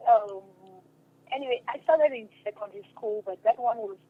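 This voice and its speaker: A woman's voice: on the high side, moderate pace. A young woman with a Cameroonian accent giving a casual interview as a guest, speaking emphatically and repeating her phrases. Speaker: interview guest, stage and radio drama actress. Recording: dull lo-fi telephone-like radio sound.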